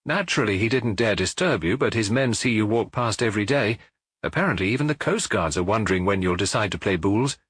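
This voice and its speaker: A man's voice: lowish voice, lively intonation, fast, a bit bright, breathy edge, no echo. A man voicing a jovial, talkative middle-aged police chief superintendent in an audiobook. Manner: hearty, talkative, reporting.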